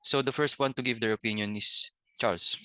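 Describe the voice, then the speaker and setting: A man's voice: mid-range voice, fairly even intonation, a little fast, bright, forceful tone, clear, resonant tone, no echo. A young man with a Filipino accent, moderating a student discussion and reading his prepared opinion with small restarts. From Philippines.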